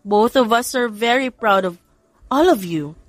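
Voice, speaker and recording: A woman's voice: a little high, animated, measured pace, no echo. Woman playing a firm Filipino mother. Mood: proud, advising. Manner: admonishing, principled. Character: protective, firm mother of grown children.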